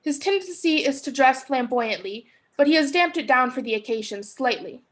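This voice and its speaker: A woman's voice: high voice, expressive, normal speed, fairly bright tone. A young woman reading stage directions as scripted narration for a play. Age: young adult.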